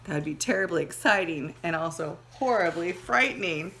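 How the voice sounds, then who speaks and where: A woman teacher reading a children's story aloud with dramatic emphasis, pausing to speak directly to her pupils. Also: lowish voice, animated, fairly bright tone, a little hiss or hum. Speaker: second grade teacher. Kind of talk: expressive storybook read-aloud with teaching asides.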